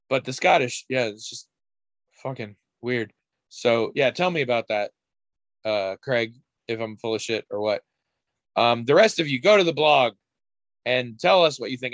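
A man's voice: medium pitch, fairly bright tone, no room sound. A man co-hosting a podcast, chatting casually in a rambling, candid way and swearing now and then. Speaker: podcast co-host. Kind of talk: casual chat.

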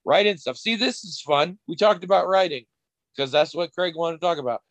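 A man's voice: fairly high pitch, brisk, fairly bright tone, no room sound. A man co-hosting a podcast, chatting casually in a rambling, candid way and swearing now and then. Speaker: podcast co-host. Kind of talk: casual chat.

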